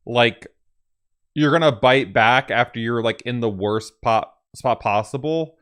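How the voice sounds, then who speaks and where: A man's voice: mid-range voice, measured pace, fairly bright tone, clear, resonant tone. A man hosting a gaming reaction video, rambling casually and thinking aloud.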